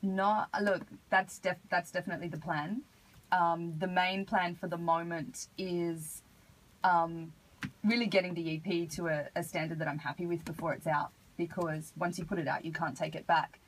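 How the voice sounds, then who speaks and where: An Australian woman with a husky voice, talking casually as an artist being interviewed on radio. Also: fairly low pitch, fairly even intonation, moderate pace, a little hiss or hum, rough recording. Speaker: interview guest, hip hop artist. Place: radio studio.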